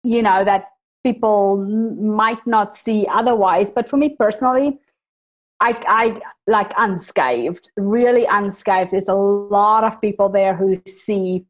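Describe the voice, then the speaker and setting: A woman with a South African accent softened by New Zealand, a native Afrikaans speaker, chatting candidly as a podcast guest and laughing at her own jokes. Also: mid-range voice, unhurried. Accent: South African with New Zealand influence. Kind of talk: casual conversational storytelling.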